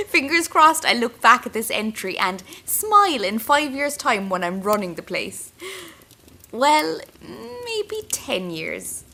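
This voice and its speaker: A woman's voice: high-pitched, huge pitch swings, slow, creaky, bright, forceful tone, a little hiss or hum, heavily acted. A woman with an Irish accent playing an anxious, wry young intern confiding in her diary in an audio drama. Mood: wry, restless. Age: young adult. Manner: intimate, wry, self-mocking.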